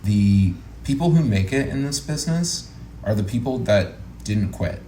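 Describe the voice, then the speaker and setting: A man's voice: on the low side, animated, moderate pace, dark tone. A man in his late twenties chatting casually as an interview guest, earnest and encouraging with a joking streak. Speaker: guest, actor and producer. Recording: roomy echo, faint background noise.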